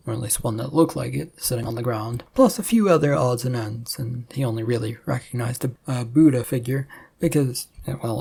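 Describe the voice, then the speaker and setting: Narrator for comic fiction: dark, raspy voice, reading scripted prose. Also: medium pitch. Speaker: story narrator.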